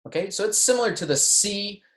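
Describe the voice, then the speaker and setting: A language teacher with a mid-pitched, very animated delivery lectures beginners on pronunciation. The instruction is step by step, with frequent check-ins, in a roomy, echoing recording. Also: brisk. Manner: instructional, checking in often with okay and right. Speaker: Spanish teacher. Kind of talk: introductory language lecture on pronunciation, with repeat-after-me examples. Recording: roomy, echoey sound.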